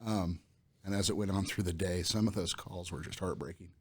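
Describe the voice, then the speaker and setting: A man's voice: low voice, steady tone, a little fast, slightly rough. An American man chatting casually as a podcast host.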